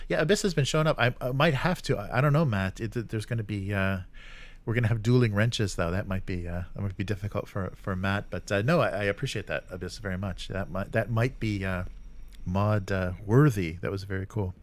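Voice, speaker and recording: Low-voiced man chatting on a livestream. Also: a little fast. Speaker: live stream host. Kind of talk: casual live chat commentary, reading and reacting to comments.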